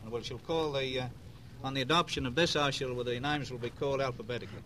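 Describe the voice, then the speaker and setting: A man's voice: mid-range voice, steady tone, fairly bright tone. Hesitant man chairing a vote. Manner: hesitant, halting delivery. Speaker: committee chairman. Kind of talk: formal procedural announcement. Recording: old 1947 archive recording with hiss.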